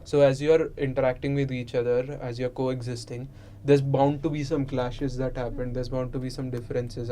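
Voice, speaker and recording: A man's voice: mid-range voice, mellow. A man in a casual discussion, speaking in a reflective, explanatory way. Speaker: participant in an unscripted discussion. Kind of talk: casual philosophical chat. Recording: noisy room ambience.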